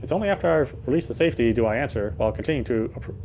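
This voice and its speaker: A man's voice: mid-range voice, lively intonation, racing, a little mellow, a little hiss or hum. A man reading first-person game narration aloud in a dramatic storytelling style, now and then stumbling over words.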